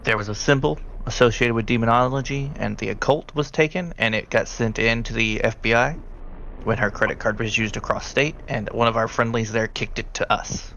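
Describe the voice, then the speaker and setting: A man's voice: medium pitch, fairly even intonation, a little fast, creaky, fairly bright tone, slight background noise, low-quality recording. A man improvising narration as a tabletop game master, talking conversationally and directly to his players.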